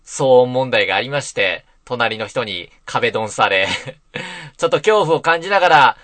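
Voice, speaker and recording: A man with a lively, swinging intonation talks casually as a solo radio host. His pitch sits in a medium range, and he rambles in a self-deprecating way. The sound is close and dry. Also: moderate pace, no room sound. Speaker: net radio host and voice actor. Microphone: close mic in a soundproof booth.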